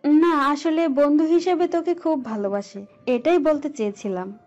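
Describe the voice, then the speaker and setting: A woman's voice: sits high, moderate pace, a little mellow, close to an everyday voice. A woman playing a kindly, affectionate middle-aged mother in an audio story. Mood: flustered. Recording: dull audio with music behind.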